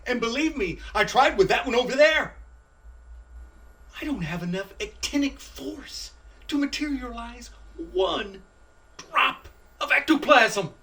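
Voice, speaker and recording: Pompous young male ghost, played by an actor, wordy and exasperated, slow, lively delivery for web comedy. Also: very high-pitched, full character voice. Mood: pompous, frustrated. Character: theatrical, exasperated ghost of a young man named Keith. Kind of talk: web series comedy acting. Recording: distant mic with music behind.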